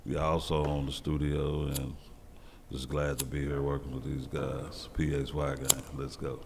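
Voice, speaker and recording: A man's voice: rumbling low, flat delivery, moderate pace, vocal fry, noisy background. A man with a Memphis Southern accent, casually introducing himself in an interview. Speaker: music group member being interviewed.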